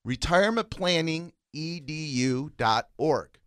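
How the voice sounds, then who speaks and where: A man's voice: on the high side, lively intonation, unhurried, fairly bright tone, dry recording. A man co-hosting a retirement finance radio show, talking in an emphatic, persuasive way. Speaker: co-host, financial instructor.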